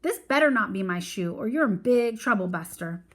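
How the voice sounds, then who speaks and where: A woman's voice: medium pitch, expressive, moderate pace, lightly acted. A woman voicing a bossy, exasperated little girl in a storybook read-aloud, dramatic and demanding, scolding like her mother.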